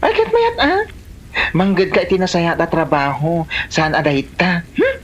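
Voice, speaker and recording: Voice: medium pitch, very animated, measured pace. An actor playing a nosy, teasing, bossy village neighbour in a comedy drama, with an Ilocano accent. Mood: scolding. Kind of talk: Filipino comedy drama dialogue.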